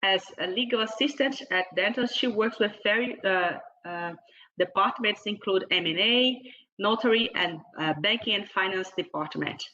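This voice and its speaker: A woman moderator with a bright, forceful voice introduces a panelist over an online call. Her delivery is formal and halting, as if working through notes, with frequent hesitations. The sound is somewhat dull and lo-fi. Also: medium pitch. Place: online video meeting.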